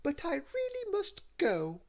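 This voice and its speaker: A woman's voice: huge pitch swings, drawn-out words, vocal fry, fairly bright tone, full character voice. A woman voicing a smug, vain little girl who talks in a haughty, fussy way. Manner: haughty, shrill.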